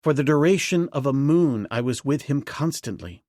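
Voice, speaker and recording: Calm adult jungle hero, grave and steady, male reader. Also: mid-range voice, dry recording, studio quality, natural voice. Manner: measured, grave.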